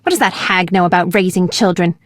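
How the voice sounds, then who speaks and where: A woman voicing a caring but feisty young female medic in a video game, speaking earnestly and directly, sometimes with a sharp tongue. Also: on the low side, steady tone, brisk, no echo, studio quality, close to an everyday voice. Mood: indignant, scolding. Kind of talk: video game character dialogue.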